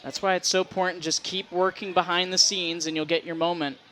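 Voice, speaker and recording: Bright, high-voiced man giving baseball analysis. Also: little pitch movement, brisk, slight background noise, rough recording. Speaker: baseball broadcast co-commentator. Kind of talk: conversational sports analysis. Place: sports broadcast at a baseball game.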